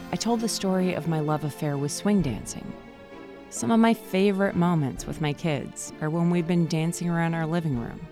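A woman in her forties, hosting a podcast and narrating a personal story in a calm, reflective way. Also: on the low side. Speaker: podcast host.

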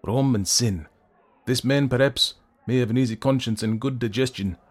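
A man's voice: mid-range voice, moderate pace, voice pushed up, lightly acted. Man playing a bitter, declamatory old drunkard. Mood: scornful, self-mocking. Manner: declamatory, forceful, biting. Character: bitter, dissolute old drunkard, proud and scornful.

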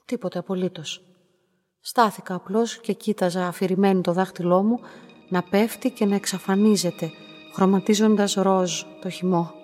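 An actress playing a young, lonely woman who narrates her own story in a radio drama, soft, restrained and matter-of-fact. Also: mid-range voice, steady tone, close to an everyday voice. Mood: subdued, hesitant. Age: young adult. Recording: music behind the voice.

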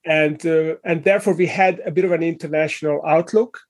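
Middle-aged man, Hungarian accent, fairly high voice; thoughtful interview guest, lots of "uh" and restarts. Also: fairly even intonation, fairly quick. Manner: thoughtful, many fillers and restarts. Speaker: guest, leadership coach and author. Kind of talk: conversational interview answer.

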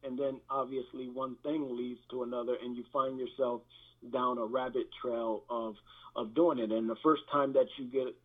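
A man speaking as a guest pastor, giving a candid personal testimony in a halting, reflective manner with repeated words, through thin band-limited audio. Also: medium pitch, little pitch movement, narrow, tinny audio.

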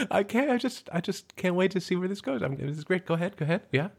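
A man's voice: high voice, very animated, a little fast, gravelly, breathy edge. A man co-hosting a film-music podcast, reading credits and joking in a dry, mock-formal way. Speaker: podcast co-host. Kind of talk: casual podcast chat and credits rundown.

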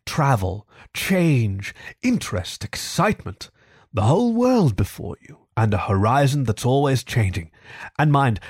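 A man voicing a boastful, excitable male toad from a storybook, with a pompous, gushing, theatrical manner. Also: mid-range voice, husky, no room sound, clean studio sound. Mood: boastful, excited. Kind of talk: storybook character acting.

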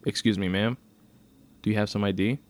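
Man playing a formal, low-voiced police officer. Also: fairly even intonation, fairly quick, natural voice. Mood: firm, formal. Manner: formal, procedural. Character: polite by-the-book young male transit police officer.

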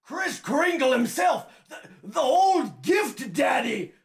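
A woman putting on a loud gravelly man. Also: sits high, very animated, slow pace, pitched above the performer's own voice, exaggerated voice. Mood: excited. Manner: shouting eagerly.

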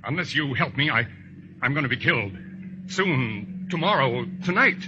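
An actor playing a frightened, evasive client in a 1940s radio drama, speaking in a nervous, halting, pleading way. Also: male voice, medium pitch, animated, natural voice. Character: frightened, evasive, handsome male client, a self-styled composer. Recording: noisy, muffled vintage radio recording.

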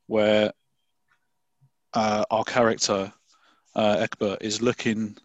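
A man's voice: fairly low pitch, a bit bright, dry recording. Thoughtful male interviewer, dry close sound. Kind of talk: podcast interview.

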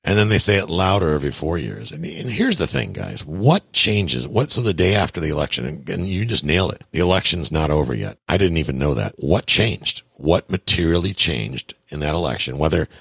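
A male podcast host chatting casually about investing, rambling and self-deprecating as he thinks out loud. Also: rumbling low, sing-song, brisk, vocal fry, few pauses, husky, no room sound.

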